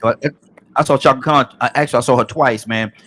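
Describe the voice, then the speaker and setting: A man hosting a call-in talk show, easygoing and chatty. His voice sits at an ordinary middle pitch, and he often stumbles and restarts his sentences. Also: fast, a bit bright, a little hiss or hum. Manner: laid-back, stammering restarts, frequent "man". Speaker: radio show host. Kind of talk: casual call-in show chat.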